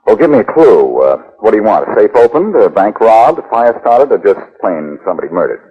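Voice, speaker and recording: An actor playing a glib, wisecracking, flirtatious private detective in 1940s radio style. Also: male voice, lowish voice, very animated, brisk, slightly rough. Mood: breezy banter. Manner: glib, teasing banter. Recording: muffled, lo-fi 1940s radio recording.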